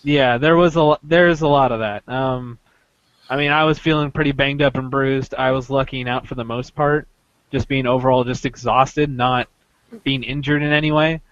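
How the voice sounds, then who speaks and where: A man hosting a podcast, casually recounting an experience in a halting way, often interrupting himself as he goes. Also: mid-range voice, steady tone, fairly bright tone, dry recording, dull audio. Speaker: podcast host telling a story.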